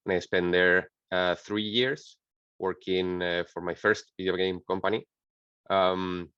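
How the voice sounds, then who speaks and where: Panelist: man from Spain, very low voice, dry dull call sound. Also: clean tone, dry recording. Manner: relaxed, uh fillers. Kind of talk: online panel introduction.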